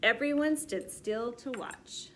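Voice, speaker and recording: A woman reading a children's story aloud expressively, pausing to explain things simply to young listeners. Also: a little high, a bit bright, slight background noise.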